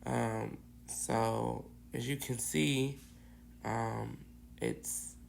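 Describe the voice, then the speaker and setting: Raspy, gravelly woman with a hoarse voice. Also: a bass voice, steady tone, drawn-out words, a little mellow, a little hiss or hum. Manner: rambling, lots of ums and restarts. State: hoarse, getting over bronchitis. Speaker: cookie decorator. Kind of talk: casual how-to tutorial.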